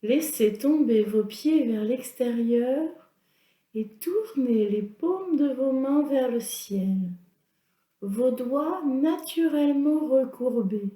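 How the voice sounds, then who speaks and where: A woman leading a guided yoga nidra relaxation as an instructor, in a calm, soothing, instructional manner. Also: high-pitched, slow pace. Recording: roomy, echoing sound.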